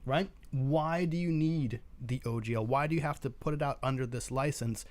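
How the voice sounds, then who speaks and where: A man's voice: medium pitch, moderate pace, darkish tone. A man chatting casually as a co-host, arguing his opinions and often checking in with a rhetorical question. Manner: opinionated, argumentative, punctuated with rhetorical "right?". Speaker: show co-host. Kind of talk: casual chat and commentary on gaming news.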